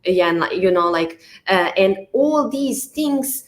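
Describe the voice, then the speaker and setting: A woman's voice: medium pitch, animated, slow, a little hiss or hum. Bosnian-accented woman hosting a podcast. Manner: leans on "like" and "you know" fillers. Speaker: podcast host. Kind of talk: conversational interview.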